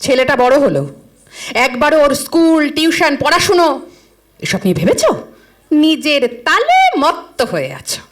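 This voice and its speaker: High-pitched, nagging middle-aged wife. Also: female voice, very animated, normal speed, a little hiss or hum. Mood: reproachful. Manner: scolding, sarcastic. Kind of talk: audio drama acting.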